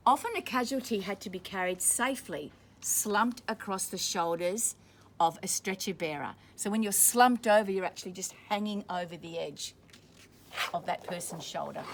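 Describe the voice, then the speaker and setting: A woman's voice: medium pitch, fairly bright tone. A woman reads a picture book aloud to children, stopping to explain words in plain terms. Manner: pauses to explain words simply. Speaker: school staff member reading aloud.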